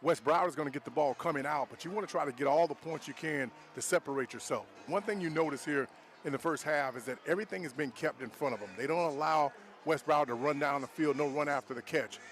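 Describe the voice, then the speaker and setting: A man's voice: a little high, fast, a bit bright. A man giving conversational live analysis of a football game as the coach in the booth, explaining plays in plain-spoken terms. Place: outdoor high school football stadium. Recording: faint outdoor stadium ambience noise. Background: faint outdoor ambience.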